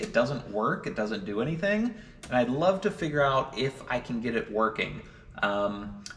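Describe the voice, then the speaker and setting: A man's voice: mid-range voice, a bit bright, slightly rough. A man narrating a hands-on vlog in an informal way, thinking aloud as he examines things. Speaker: car owner and vlogger.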